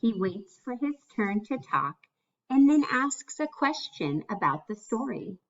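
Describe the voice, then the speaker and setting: A woman reading a children's picture book aloud in an expressive, deliberate storytelling manner. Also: fairly high pitch, muffled recording.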